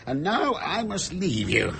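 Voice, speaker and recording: An actor playing a polite, duty-bound ship's captain in a radio drama, with a formal, slightly flustered courtesy. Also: male voice, high-pitched, huge pitch swings, unhurried, vocal fry, fairly bright tone, heavily acted. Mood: polite, uneasy.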